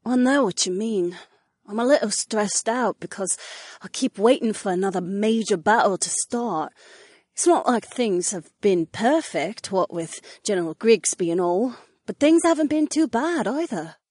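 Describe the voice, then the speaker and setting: A woman playing sweet, easygoing young princesses in audiobook dialogue, with a soft, drawn-out delivery. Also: mid-range voice, expressive, dry recording, clean studio sound, natural voice. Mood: mildly worried.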